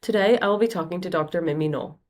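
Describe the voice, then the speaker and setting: A woman's voice: fairly low pitch, fast talker, darkish tone. A woman in her twenties, a medical student, reading out a guest introduction. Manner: reading a guest bio aloud. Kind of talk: read introduction.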